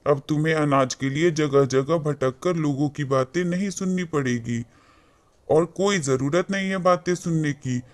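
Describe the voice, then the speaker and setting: Cartoon swami, played by a man: fairly high male voice, benevolent, gently instructing, grants wishes. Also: moderate pace, lightly acted. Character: kind, wish-granting male holy man. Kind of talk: cartoon moral story acting.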